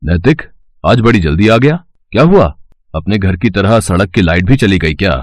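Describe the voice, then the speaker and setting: A man's voice: low-pitched, animated, normal speed, no echo. A man acting a weary, plain-spoken middle-aged scrap-dealer father, folksy and resigned, in a moral story. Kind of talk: acted dialogue for an animated moral story.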